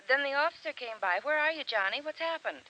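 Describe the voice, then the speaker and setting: A woman's voice: sits high, animated, fast talker, sharp tone, no room sound, low-quality recording. A woman playing a bewildered, anxious wife in 1950s radio melodrama, pleading and breathless. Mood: puzzled, anxious.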